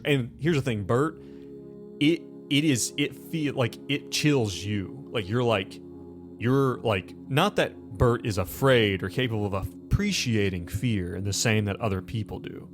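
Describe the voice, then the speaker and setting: A man's voice: mid-range voice, animated, measured pace. A man acting as game master, narrating a tabletop game in a casual, conversational and sometimes profane way. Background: music.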